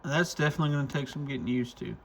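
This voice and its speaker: A man's voice: medium pitch, very fast, slight background noise. A man hosting a casual hands-on product demo, thinking aloud informally as he tinkers. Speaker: radio shop reviewer and video host.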